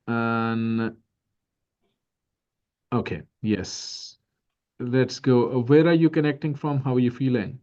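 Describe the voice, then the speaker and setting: A man moderating an online pitch session, upbeat and reassuring, in short friendly turns. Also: steady tone, slow, pauses often, darkish tone. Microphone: video call. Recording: dull lo-fi video call audio.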